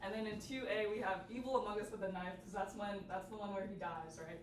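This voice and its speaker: A woman's voice: medium pitch, steady tone, fast. A young woman giving a casual, chatty student workshop presentation. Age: young adult. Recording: echoey, noisy room, distant mic.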